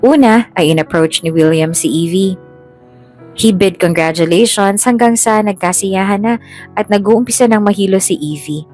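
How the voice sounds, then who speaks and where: Female narrator, medium pitch, scripted romantic drama, English phrases mixed in, light music bed. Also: moderate pace, a little mellow. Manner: mixes English phrases into Tagalog. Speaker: storyteller.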